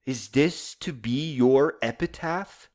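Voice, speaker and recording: A man's voice: medium pitch, slow, darkish tone. A man delivering a dramatized speech in a grandiose, solemn, theatrical manner. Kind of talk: dramatized oratory and scripted monologue. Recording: music behind the voice.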